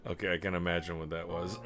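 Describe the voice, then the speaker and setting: A man streaming a video game live, chatting in a rambling way and clearing his throat often. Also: low-pitched. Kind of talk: live let's play commentary. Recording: game music clearly audible underneath. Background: game music.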